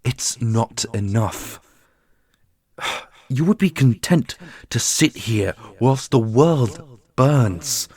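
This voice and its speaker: A man playing a bold, impassioned rebel paladin in a fantasy audio roleplay, speaking forcefully and earnestly. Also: medium pitch, animated, extremely slow, husky, no echo, pitched above the performer's own voice, full character voice. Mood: defiant.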